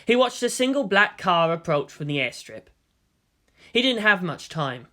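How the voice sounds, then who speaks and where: A narrator reading a story aloud in an expressive, scripted storytelling style. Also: mid-range voice, moderate pace. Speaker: audiobook-style reader of fan fiction.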